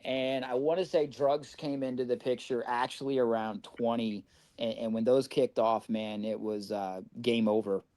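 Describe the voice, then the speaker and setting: A man's voice: mid-range voice, steady tone, normal speed, darkish tone, dry recording. A middle-aged man from Indiana, a podcast guest telling his recovery story in a candid, rambling way and swearing freely.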